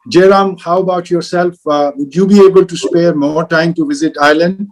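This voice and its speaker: Halting man leading a video call panel. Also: a little high, fairly even intonation, poor audio. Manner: hesitant, many fillers and restarts. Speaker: moderator of travel industry panel. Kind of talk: online panel discussion.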